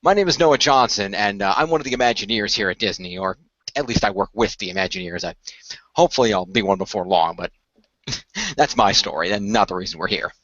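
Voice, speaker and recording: A man's voice: lowish voice, lively intonation, fairly quick, fairly bright tone, no echo, muffled recording, pitched below the performer's own voice. A man playing a polite, eager young studio employee in a radio play, apologetic and hesitant as he explains things at length.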